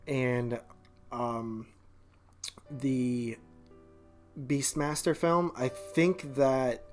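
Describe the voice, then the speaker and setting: Male podcast co-host, middling pitch, casual halting movie talk, light guitar underneath. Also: steady tone. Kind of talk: casual film review chat.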